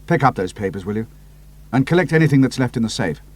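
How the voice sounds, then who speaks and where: A clipped, decisive British airman giving orders, as a narrator voices him. He sounds husky and lively in pitch, firm and in command. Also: fast talker, natural voice. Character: brisk commanding British pilot hero, man.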